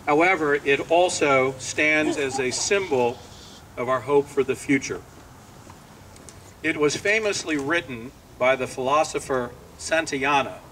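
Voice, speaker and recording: Male ceremony speaker, measured and formal, crisp bright tone, outdoors with faint noise behind. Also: on the high side, recorded from a distance, poor audio. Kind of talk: formal prepared speech.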